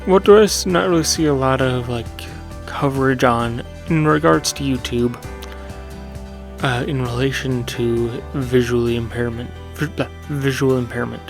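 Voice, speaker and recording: Soft-spoken man musing about his channel. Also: medium pitch, moderate pace, creaky, over music. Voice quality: soothing. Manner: meandering, self-correcting with fillers. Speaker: gaming channel host. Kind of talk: casual reflective monologue.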